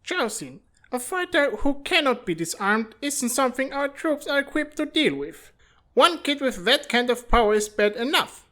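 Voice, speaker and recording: A woman voices a brash commander in a fantasy comic dub. The voice sits a bit high and moves around animatedly, dripping with sarcasm. The delivery is blunt, cynical and out for itself. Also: sing-song, moderate pace, pushed and bright, heavily acted. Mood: challenging. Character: brash, cynical, self-serving mercenary-like commander. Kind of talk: dramatic comic fandub acting.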